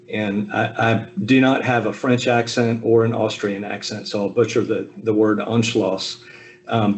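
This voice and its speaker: Man, 50s, from the US South, slightly low voice, easygoing talk with ums over a video call, faint hum behind. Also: rough recording. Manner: relaxed, with frequent ums and self-deprecating asides. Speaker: speaker at a Zoom talk. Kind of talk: personal family history talk.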